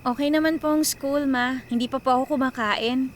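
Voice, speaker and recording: A woman's voice: high voice, steady tone, moderate pace, a little hiss or hum, natural voice. A woman playing a dutiful, earnest, wistful young college student in a radio drama. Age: young adult.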